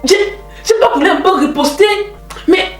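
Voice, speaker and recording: A young woman telling stories in a casual, animated, teasing and slangy way, like an online host. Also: extremely high pitch, normal speed. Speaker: YouTube host telling K-pop gossip. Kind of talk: casual chatty storytelling with commentary. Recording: roomy echo, distant mic, music behind.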